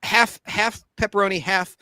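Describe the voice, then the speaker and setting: High-pitched, bright-voiced man joking around. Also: steady tone, moderate pace, dry recording, studio quality. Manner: jokey, self-deprecating. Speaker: panel host. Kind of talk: casual chat.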